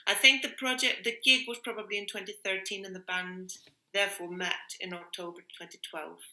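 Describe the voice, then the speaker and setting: A woman talks in a very bright, forward voice with a noticeable room echo. She is earnest and reflective, feeling for the right phrase and restating it for emphasis. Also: medium pitch, steady tone, brisk. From Iceland. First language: Icelandic. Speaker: interviewed music workshop leader. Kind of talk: reflective conversational interview. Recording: roomy, echoing sound.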